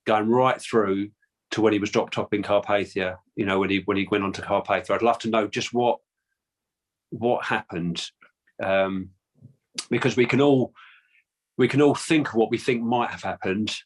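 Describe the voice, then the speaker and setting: A man's voice: fairly low pitch, normal speed. A man with a London accent answering interview questions casually, hesitant with many restarts and fillers. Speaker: guest, descendant of a Titanic crewman.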